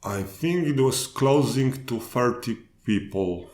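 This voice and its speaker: A man with a Polish accent answering interview questions in unhurried, halting English, starting sentences over now and then. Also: mid-range voice, animated, a little mellow, reverberant. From Poland. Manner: slow, halting answers with restarts. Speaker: guest speaker. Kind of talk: podcast interview.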